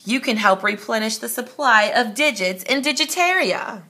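A woman voicing an excitable male count from a storybook, drawing out his exclamations. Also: fairly high pitch, normal speed, voice pushed up. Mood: delighted. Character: excitable old male count of numbers, inventor.

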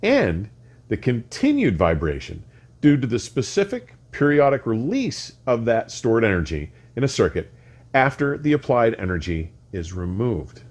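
An older man presents a scripted lesson as the host of an explainer series. His pitch is mid-range and swings widely as he stresses key words. His phrasing is deliberate and exact. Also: very animated, a little mellow. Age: older, around 60. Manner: measured, deliberate, emphatic phrasing with precise wording. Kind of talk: scripted educational explanation to camera. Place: furnished home listening room, indoors.

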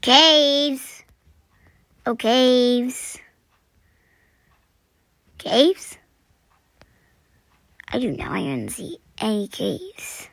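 A young woman chatting through a survival game, her voice scratchy this morning, clearing her throat and often dropping to a whisper. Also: a little high, sing-song, drawn-out words, pauses often, a bit bright, no room sound. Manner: chatty, self-deprecating, often drops to a whisper. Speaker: gaming channel host. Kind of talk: casual gameplay commentary.

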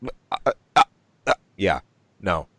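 A man's voice: sits low, animated, unhurried, a bit bright, no room sound. A man co-hosting a podcast, chatting casually about movie news with lots of ums, restarts and laughs. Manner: rambling, many ums and restarts, laughs often. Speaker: podcast co-host.

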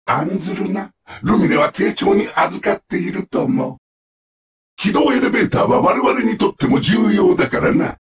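An actor playing a sadistic, mocking combat-robot villain in a Japanese video game, with sneering, theatrical, drawn-out taunts. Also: male voice, sits high, very animated, distant mic.

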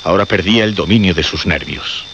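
An actor narrating a radio suspense drama in a grave, measured, dramatic way. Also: male voice, sits low, a bit bright, slight background noise. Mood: grave, suspenseful. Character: grave male narrator of a horror tale. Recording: muffled radio drama recording.

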